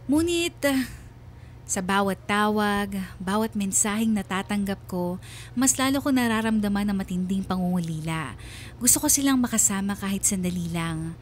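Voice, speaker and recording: Sorrowful storyteller wife played by a woman, mid pitch, dramatic scripted narration, slight noise. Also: expressive, moderate pace, natural voice. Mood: wistful, aching. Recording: faint background noise.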